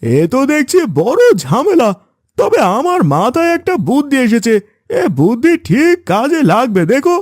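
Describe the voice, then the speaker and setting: A man's voice: very high voice, big pitch swings, moderate pace, dry recording, studio quality. A man voicing a chatty, nosy village neighbour in a cartoon fairy tale, with a singsong, exclaiming manner. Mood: surprised, scheming.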